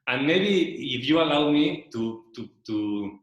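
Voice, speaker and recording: Man, Spanish first language, slow and searching casual presenter, recorded in a very echoey room. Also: medium pitch, expressive, muffled recording. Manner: slow, searching, repeats words while thinking. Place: loft room with a small audience.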